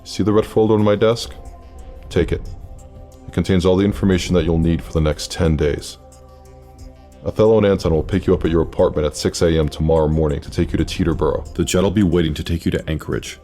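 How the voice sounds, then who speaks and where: An actor playing a secretive, centuries-old immortal leader in an audio drama, speaking with measured, formal authority. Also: male voice, sits low, fairly even intonation, warm tone, faint music behind, natural voice. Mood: grave, businesslike.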